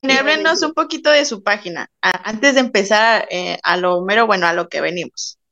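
A woman's voice: medium pitch, fairly quick, bright, forceful tone, dull audio. A woman co-hosting a podcast, chatting in a friendly, upbeat way with guests. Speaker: podcast co-host. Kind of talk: casual chat.

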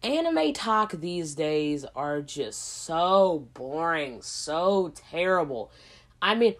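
A woman hosting a casual solo podcast, hoarse from a cold, rambling in a slangy way with lots of asides. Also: deep voice, big pitch swings, slow. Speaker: podcast host.

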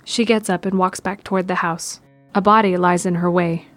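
A woman's voice: fairly low pitch, fairly even intonation, normal speed, a bit bright, soft background music. A woman narrating a scripted audio drama in the present tense, describing the action.

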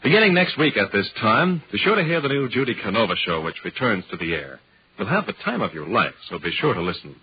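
Husky, animated American radio announcer man. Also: on the low side, fast, dry recording. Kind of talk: 1940s broadcast announcing. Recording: muffled vintage radio broadcast.

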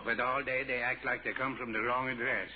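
A man's voice: medium pitch, level tone, a little fast, lightly acted. An actor playing a genial Swedish immigrant family man with muddled English and a Swedish accent, in 1940s radio comedy style. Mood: jovial, exasperated. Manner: muddled idioms and grammar. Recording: tinny old radio broadcast, faint noise.

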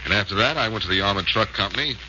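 A man's voice: fairly low pitch, expressive, very fast, natural voice. An actor playing a confident amateur detective hero in a 1940s radio drama, assured and reassuring as he explains. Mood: calm, explaining. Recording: hissy muffled old radio broadcast.

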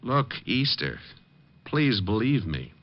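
An actor playing a suave, tough, sardonic private detective in a 1950s radio drama. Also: male voice, on the low side, very animated, takes it slowly, no echo, full character voice. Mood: menacing. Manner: sardonic, dry wisecracks. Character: suave, quick-witted, wisecracking tough male private detective. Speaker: lead actor and first-person narrator. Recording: dull old radio recording.

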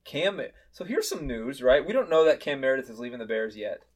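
A man's voice: mid-range voice, big pitch swings, brisk. Blunt, joking man co-hosting a podcast. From Chicago area. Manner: blunt, opinionated, swears and jokes. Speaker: podcast co-host. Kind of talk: casual sports chat.

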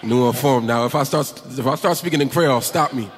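A man's voice: mid-range voice, brisk. A man from Haiti, a pastor, introducing himself on a panel and joking with the crowd. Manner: warm, jokey with the crowd. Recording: echoey hall, faint noise.

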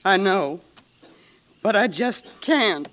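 An actress playing an anguished, humble rural American mother in a 1940s radio drama, halting and plain-spoken as she pleads. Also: mid-range voice, expressive, fairly bright tone. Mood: quiet anguish.

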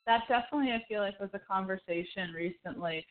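A woman gives her opinions in loose, conversational speech as the co-host of a casual show. Her voice sits at a middling pitch. The recording is thin and boxed-in, as if heard over a telephone. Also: fast. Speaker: podcast co-host. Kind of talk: casual opinionated chat about films. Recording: thin, phone-like band-limited audio.

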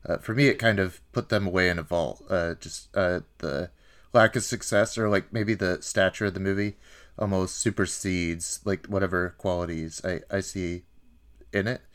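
Very deep-voiced man, halting and wry. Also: fairly even intonation, a bit bright. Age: 30s. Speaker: podcast co-host. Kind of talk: casual film discussion.

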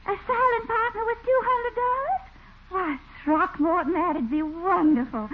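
An actress playing a coy, chatty, enterprising Southern belle in a 1940s radio comedy. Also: female voice, very high voice, a little slow, slight background noise. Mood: sweetly persuasive. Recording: tinny 1940s radio recording.

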